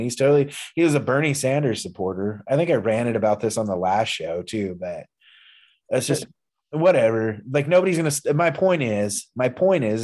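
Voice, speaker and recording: A man's voice: medium pitch, a little mellow. Profanity-heavy man in his thirties, podcasting. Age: 30s. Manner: profane, opinionated ranting. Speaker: podcast host. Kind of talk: casual wrestling podcast chat.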